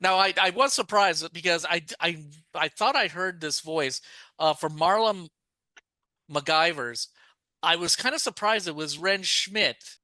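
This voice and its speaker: A man's voice: on the high side, measured pace, sharp tone, dry recording. A man co-hosting a podcast, talking casually and at length, often restarting his sentences. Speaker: podcast co-host. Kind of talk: casual chat.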